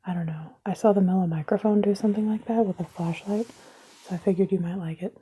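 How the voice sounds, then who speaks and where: A woman whispering throughout an ASMR video indoors at a table, making sarcastic, self-mocking jokes in a soft unvoiced whisper, recorded close up on a camera mic. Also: medium pitch, steady tone, fast talker, a little hiss or hum, poor audio.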